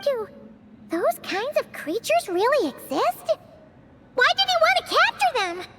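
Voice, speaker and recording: A woman voicing a small, excitable, curious childlike fairy companion in a video game, squeaky and exclamatory. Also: measured pace, background noise, exaggerated voice. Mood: puzzled. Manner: exclamatory, refers to self in third person. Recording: loud background music.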